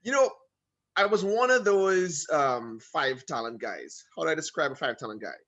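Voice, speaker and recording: A man from Trinidad and Tobago with a Trinidadian accent, telling his story as a guest in a casual video call interview, animated and full of quick asides. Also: on the high side, muffled recording.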